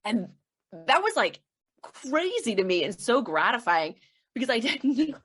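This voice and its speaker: A woman's voice: fairly high pitch, sing-song, measured pace, a bit bright, slightly rough. A woman chatting as a podcast guest, laughing often and acting out the people she quotes. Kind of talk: casual interview chat.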